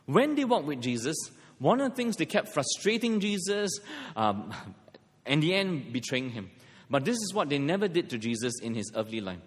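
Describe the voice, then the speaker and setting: A man's voice: fairly high pitch, big pitch swings, moderate pace, breathy edge. A middle-aged man from Malaysia preaching a sermon, mixing scripture with humorous personal stories. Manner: storytelling with self-deprecating humor. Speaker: pastor. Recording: long hall reverb.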